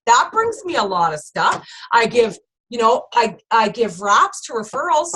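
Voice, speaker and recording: A woman with a high, bright, sharp voice that swings widely in pitch. She gives her sales team a casual, motivating pep talk. She is emphatic and keeps turning to her listeners. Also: moderate pace, husky, poor audio. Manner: emphatic, animated, keeps addressing listeners as "you guys".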